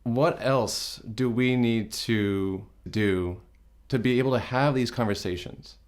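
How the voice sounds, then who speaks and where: A man hosting a studio interview show, asking measured questions in a welcoming manner. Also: mid-range voice. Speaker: host.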